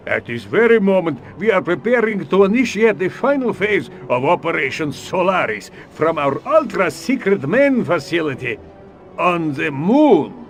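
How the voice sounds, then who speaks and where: An actor playing a smug, theatrical Soviet mastermind in a video game, gloating pompously in a Russian accent. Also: male voice, high-pitched, full character voice. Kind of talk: video game cutscene acting.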